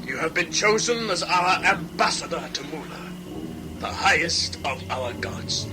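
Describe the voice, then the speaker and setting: Male actor playing stern chieftain, slightly high pitch, solemn archaic delivery, audio play, music bed. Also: animated, a little slow. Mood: ceremonial. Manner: solemn, declamatory, archaic diction. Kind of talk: audio drama acting.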